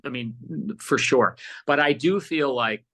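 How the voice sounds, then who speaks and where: Middle-aged american guy on a podcast, bright punchy voice, earnest, really emphatic, repeats himself a lot. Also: mid-range voice, a little slow. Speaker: podcast guest, radio host and producer. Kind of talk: casual conversational interview answers.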